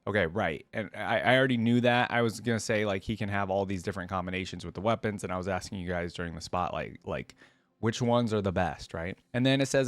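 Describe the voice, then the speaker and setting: A man giving casual, informal reaction commentary, chatting as he thinks out loud and sometimes reading text aloud. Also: medium pitch, no echo. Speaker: reaction video host.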